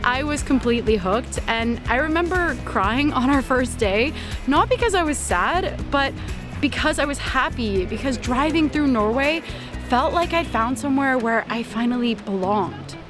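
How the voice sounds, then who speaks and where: A young woman narrates her travel vlog calmly and from the heart, with small laughs here and there. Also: sits high, moderate pace. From Canada. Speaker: vlogger. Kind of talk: reflective voice-over narration. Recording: voice-over with music underneath.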